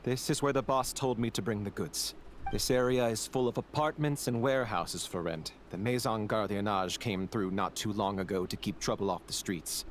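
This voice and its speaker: An actor playing an anxious, cornered small-time criminal in a video game, nervous and halting. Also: male voice, on the low side, slight background noise, close to an everyday voice. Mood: subdued, uneasy. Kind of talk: scripted video game voice acting.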